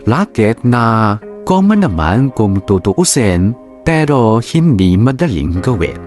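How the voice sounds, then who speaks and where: A man's voice: fairly low pitch, very animated, takes it slowly, a little mellow, soft background music. A man narrating a drama story in the first person, in a chatty storytelling manner.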